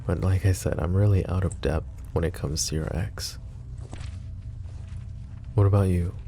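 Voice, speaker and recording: A man voicing a possessive, protective mafia boss in an ASMR roleplay, talking in a calm, confiding way with casual swearing. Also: low voice, normal speed, soft background music, natural voice. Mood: candid. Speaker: voice actor.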